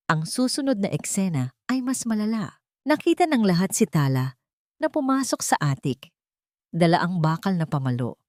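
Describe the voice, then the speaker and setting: A woman's voice: low voice, a little mellow, no room sound, clean studio sound. A woman storyteller reading dramatic scripted fiction narration.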